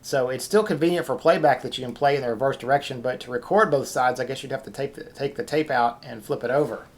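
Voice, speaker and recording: A man's voice: mid-range voice, quick pace. A man hosts an informal demonstration in a casual, unscripted way. He explains things as he goes, hesitating here and there as he finds his words. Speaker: video host.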